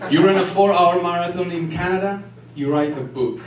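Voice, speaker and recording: Man, from Ethiopia, stand-up, deadpan, self-chuckling, echoey distant room recording, loud noise. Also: high voice, a little fast. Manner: deadpan delivery, laughing at his own jokes. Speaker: stand-up comedian. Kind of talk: stand-up set. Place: comedy club stage with live audience.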